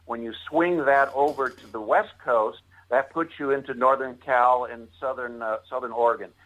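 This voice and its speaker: An American man speaking as an interview guest, with formal, deliberate, oratorical phrasing in an earnest tone. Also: mid-range voice, fairly even intonation, a bit bright, rough recording. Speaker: author and interview guest promoting a monument project. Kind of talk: earnest live interview talk.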